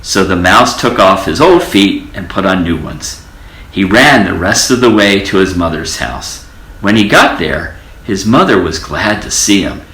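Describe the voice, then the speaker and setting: An older man with a very deep voice reads a children's tale aloud in an echoey room. His delivery is animated and melodic, lingering on repeated words to stretch out the story. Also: a little slow. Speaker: storybook reader.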